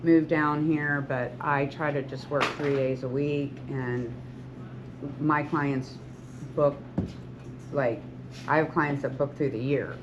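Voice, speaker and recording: A woman in her sixties speaks warmly to a group of students as a veteran hairstylist. She is earnest and encouraging and rambles with frequent restarts. The recording is dull and lo-fi, with clear background noise behind her. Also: low voice, steady tone, takes it slowly. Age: 60s. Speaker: hairstylist and salon owner. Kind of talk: talk to beauty school students.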